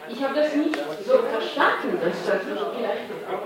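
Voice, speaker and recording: A woman moderating a panel discussion, calm and conciliatory as she sums up. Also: a little high, a crowd in the background. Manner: measured, conciliatory. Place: large hall at a community event. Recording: echoey hall from far off.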